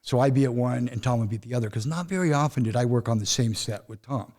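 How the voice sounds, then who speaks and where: A man's voice: medium pitch, fast talker, darkish tone. A man in his fifties, a guest in a casual interview, telling long rambling stories and often checking in with "you know what I mean?".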